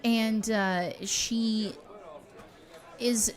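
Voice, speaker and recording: A woman player in a tabletop game, animated as she describes her character's actions. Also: on the high side, drawn-out words, some chatter behind. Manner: animated, lots of likes.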